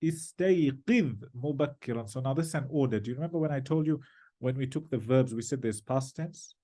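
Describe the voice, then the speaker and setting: A male language teacher talks very quickly in a relaxed, dark-toned voice. He explains and corrects phrase by phrase, translating and putting questions to a student. The sound is dry and close. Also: mid-range voice, no echo. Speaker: Arabic teacher.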